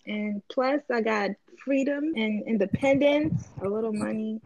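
Higher-pitched woman in her twenties, playful podcast co-host, dull and dry sound. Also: measured pace, a little mellow, dry recording. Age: 20s. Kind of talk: casual chat.

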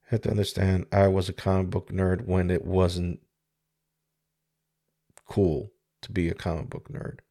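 Slow, gravelly-voiced man in his forties. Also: low-pitched, little pitch movement, dark tone. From Dominican Republic. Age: 40s. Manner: halting and searching, with many pauses and restarts. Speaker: podcast host. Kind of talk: reflective solo podcast monologue. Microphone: studio microphone with mixer.

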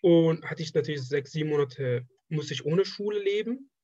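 A man's voice: fairly high pitch, expressive, dark tone, no room sound, lo-fi sound. A young man in his twenties from Afghanistan whose first language is Persian, talking casually in an interview and often stopping to restart his sentences. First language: Persian (Dari). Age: 20s. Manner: halting, restarts phrases often. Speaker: interviewee, trained draftsman.

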